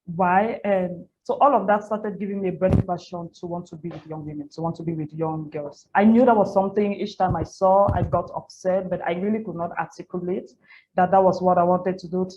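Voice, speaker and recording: A woman's voice: fairly low pitch, steady tone, brisk, darkish tone. A Cameroonian woman in her thirties telling her personal story as an interview guest, candid and repeating phrases for emphasis. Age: 30s. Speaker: interview guest, NGO founder and women's activist. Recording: muffled lo-fi audio with audible background noise.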